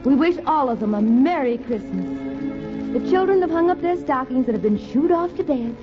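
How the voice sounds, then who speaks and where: A woman's voice: sits high, animated, normal speed. A woman giving sentimental scripted narration in 1950s radio style. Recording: dull old radio recording, music behind.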